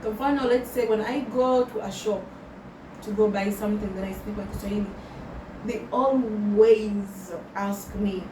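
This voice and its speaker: A young woman speaks in a reflective personal vlog, slow and thoughtful, with Swahili words slipped into her English. Her voice sits in the middle of the range for a woman. The recording is roomy and distant, with loud background noise. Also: mid-range voice, steady tone. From Tanzania. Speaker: vlogger.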